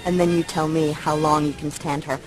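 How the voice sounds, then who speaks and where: A woman's voice: low-pitched, level tone, a little fast, lightly acted. An actress playing a sarcastic, aggrieved young woman in a video game, speaking snippily. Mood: exasperated. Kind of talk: video game dialogue acting. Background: music.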